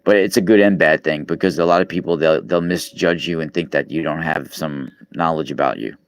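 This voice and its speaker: Very deep-voiced man. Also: a little fast, no room sound. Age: 40s. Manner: rambling, with many fillers and restarts. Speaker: podcast host and radio guest. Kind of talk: casual live-stream chat. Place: East Coast, New York.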